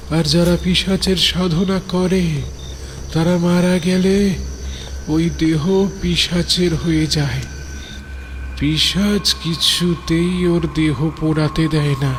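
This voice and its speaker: A man playing a grave, knowing holy hermit in a horror cartoon, speaking deliberately as he explains. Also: high-pitched, steady tone, noisy background.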